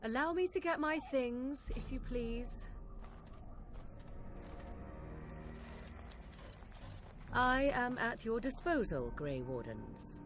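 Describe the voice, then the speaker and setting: A woman's voice: fairly high pitch, very animated, a little slow. An actress playing a haughty young witch from the wilds, speaking in an arch, formal, sardonic way in a dramatic video game. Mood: cool, formal. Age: young adult.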